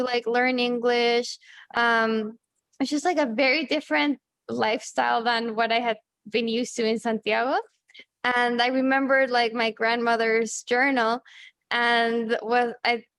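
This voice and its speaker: A woman in her thirties from Chile, a native Spanish speaker, talking casually as an interview guest, halting with many fillers and restarts. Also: a little high, a bit bright, dry recording. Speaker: guest, journalist and author.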